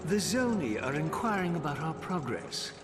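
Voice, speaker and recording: High-voiced, dry robot butler. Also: male voice, normal speed. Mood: apologetic. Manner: formal, deadpan, unflappable. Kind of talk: animated video game acting. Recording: echoey, long reverb, loud music behind.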